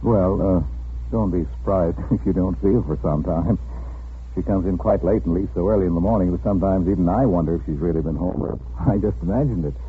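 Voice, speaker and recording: An actor playing a mild, evasive, nervous husband in a 1940s radio drama, hesitant and stammering. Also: male voice, low voice, brisk, a little hiss or hum, lightly acted. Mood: nervous, polite. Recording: tinny old radio recording with music.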